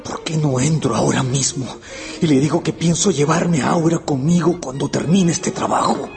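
A man's voice: on the high side, normal speed, gravelly. A man playing a lovestruck, anxious young historian in a radio drama, brooding, hesitant and intense. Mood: anguished. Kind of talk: Spanish-language radio drama acting. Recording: radio play with faint music bed.

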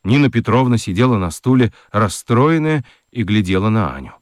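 Male narrator, fairly low voice, animated intonation, dry studio sound, expressive children's storytelling. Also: moderate pace, no room sound. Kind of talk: dramatic scripted narration for children's radio.

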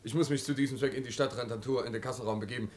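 A man's voice: medium pitch, fairly even intonation, racing, natural voice. A man acting a submissive, correct city treasurer in a 1950s German radio drama, speaking formal officialese. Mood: eagerly correct. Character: servile, correct male city treasurer and reserve lieutenant.

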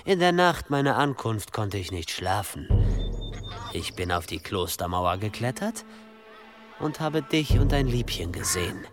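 Radio drama villain: sly pilgrim, lowish voice, lively intonation, calculating, quietly threatening, music underneath. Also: male voice. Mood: insinuating. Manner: insinuating, measured, threatening. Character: sly, menacing pilgrim blackmailer, coolly calculating. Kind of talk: German radio drama acting.

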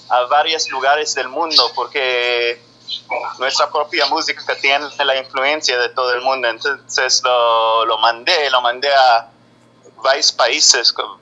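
A man whose first language is English, speaking halting Spanish in an interview and searching for words. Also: mid-range voice, steady tone, muffled recording, background noise.

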